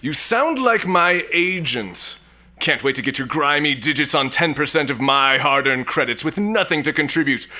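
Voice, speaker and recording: A man's voice: fairly high pitch, expressive, moderate pace, sharp tone. A man playing a pompous, boozy, womanizing space lord in a comic sci-fi audio drama, with haughty, theatrical bluster and old-fashioned exclamations. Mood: haughty, irritated.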